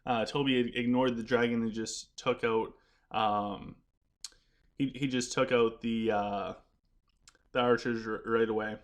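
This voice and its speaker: A man streaming a strategy game, talking casually as he plays and answers chat. His delivery rambles with lots of restarts, and his voice is wearing out and getting rough. He sits at a middle pitch for a man. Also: fairly even intonation, measured pace. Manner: chatty, rambling, frequent restarts while playing. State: losing his voice. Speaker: gaming live streamer. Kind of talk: casual live-stream commentary.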